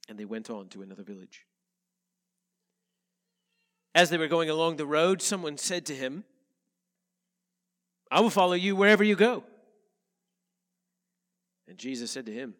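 Nasal-sounding pastor preaching in a reverberant church. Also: male voice, medium pitch, fairly bright tone. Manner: earnest, measured preaching with slow emphatic phrases. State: slightly nasal from a head cold. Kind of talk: sermon with scripture reading. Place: church sanctuary.

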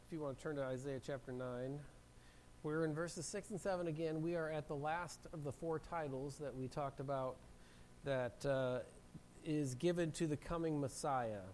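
A man preaching to his congregation, his voice a little higher than most and roughened by a cold. He keeps clearing his throat between steady sermon lines. A faint low rumble sits under the recording in the church room. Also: normal speed, low-quality recording. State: hoarse from a cold. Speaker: pastor. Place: church sanctuary. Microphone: handheld mic.

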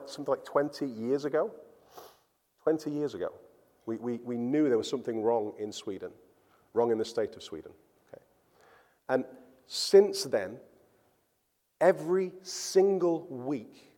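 Man, pastor, earnest sermon, mellow voice, long hall reverb. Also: medium pitch. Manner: earnest, emphatic, repeats words when stressing points.

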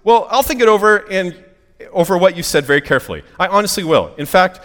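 A man's voice: high-pitched, expressive, fast, fairly bright tone, in a large hall. A man playing a cowardly, flustered ghost who whines and makes polite, nervous excuses. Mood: nervous, evasive. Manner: flustered, apologetic, whimpering, polite English phrasing. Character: anxious, cowardly, whining male ghost, full of excuses.

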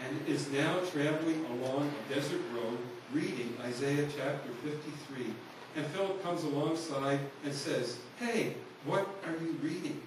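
A man preaching a sermon, telling a story in an earnest, conversational way to his congregation. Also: a little high. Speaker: pastor. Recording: echoey hall, voice from far off, noisy.

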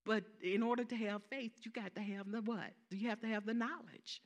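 A woman's voice: on the high side, steady tone, a little fast. A woman with a Southern accent preaching a sermon, emphatic, repeating key phrases and calling out for amens.

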